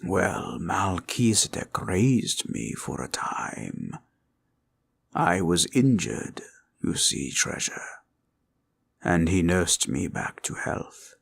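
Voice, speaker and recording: An ancient red dragon, with a low, husky voice that swells and dips. He speaks very slowly in formal, old-fashioned phrases, fatherly and tender, as in a fantasy audio roleplay. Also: sing-song, full character voice. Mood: grave, reminiscing. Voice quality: deep, gravelly, husky voice.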